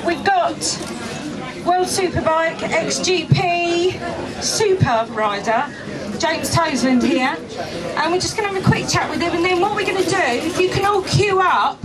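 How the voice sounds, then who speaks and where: A woman with a loud, high voice hosts an event, brisk and jokey with the audience. A crowd is audible around her in a large indoor room. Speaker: event host. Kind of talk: casual live hosting. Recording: live event with crowd noise.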